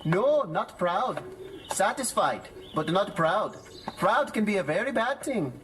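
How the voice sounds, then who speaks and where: A hearty old-time farmer and proud father in an audio drama. His delivery is booming and hospitable, swinging widely in pitch. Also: big pitch swings, measured pace, a bit bright, heavily acted, rough recording. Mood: hearty, jovial. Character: hearty, welcoming older farmer father, contentedly modest.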